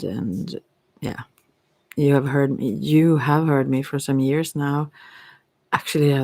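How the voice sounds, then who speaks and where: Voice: medium pitch, vocal fry, a little mellow, no room sound. An ASMR host with a voice of unclear sex, talking in a hesitant, meandering, reflective way. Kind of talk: ASMR, rambling personal talk.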